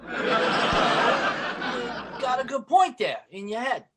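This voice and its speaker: An actor playing a sly, wheedling, ambitious factory worker in an old-time radio sitcom, with wisecracking, folksy speech. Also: male voice, very high-pitched, very animated, slow pace, heavy background noise, heavily acted. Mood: wary, sly.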